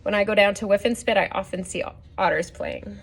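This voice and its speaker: Female story time reader for young children, medium pitch, gently teaching as she reads and chats to listeners. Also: brisk, vocal fry. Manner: gently instructive, puts questions to the listeners. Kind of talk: reading a picture book aloud with asides to children.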